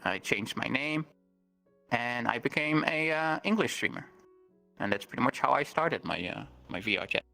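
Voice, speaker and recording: A man whose first language is Dutch, a guest on an interview, explaining things earnestly with many pauses and ums. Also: medium pitch, expressive, creaky, pushed and bright, soft background music. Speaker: guest streamer. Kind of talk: interview conversation.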